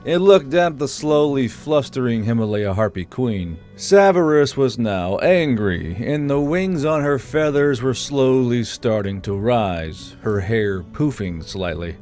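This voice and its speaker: A man's voice: medium pitch, lively intonation, measured pace, hardly any pauses, darkish tone. A man reading scripted fantasy fiction as an audiobook narrator. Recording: background music under the voice.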